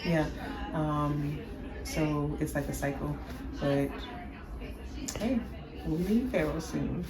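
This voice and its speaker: A woman's voice: low voice, slow, a crowd in the background. A woman vlogging from a hospital room as an expectant mother, chatting in a friendly, talkative way. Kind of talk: casual vlog chat. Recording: echoey room with background noise.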